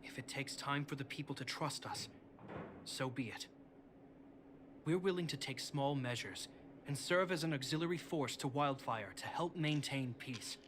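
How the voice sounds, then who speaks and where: An actor playing an earnest, dutiful young guard captain in a video game, speaking in a formal, composed, diplomatic way. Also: male voice, mid-range voice, moderate pace, background noise, natural voice. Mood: earnest conviction. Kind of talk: scripted video game voice acting.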